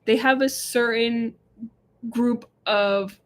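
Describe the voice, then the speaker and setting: A woman's voice: fairly high pitch, fairly even intonation, slow pace. A young woman co-hosting a casual livestream, chatting with many fillers and reading viewer comments aloud. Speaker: livestream co-host. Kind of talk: casual live chat.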